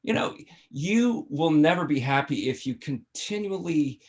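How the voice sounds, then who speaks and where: A man's voice: a little high, animated, a little slow. An older man playing a flippant, much-married sitcom father who needles his grown son in a conversational, teasing way over a video call. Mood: needling. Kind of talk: sitcom table read.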